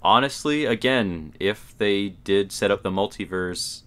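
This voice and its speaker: Man, deep low-pitched voice, podcast co-host, casual opinionated chat. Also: unhurried, fairly bright tone, smooth.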